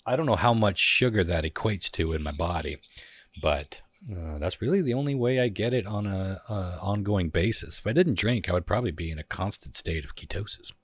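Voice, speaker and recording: A man's voice: sits low, brisk, dry recording. A man hosting a podcast, chatting casually and swearing freely, from the Pacific Northwest of the US. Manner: relaxed, swearing often. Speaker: podcast host. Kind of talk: casual chat.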